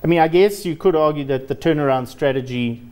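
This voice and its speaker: A man's voice: a little high, fairly quick, a little mellow, smooth. A man in his thirties debating as a guest analyst in a TV studio, explaining his case. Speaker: investment analyst, show guest. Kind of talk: unscripted market debate. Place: TV studio, standing.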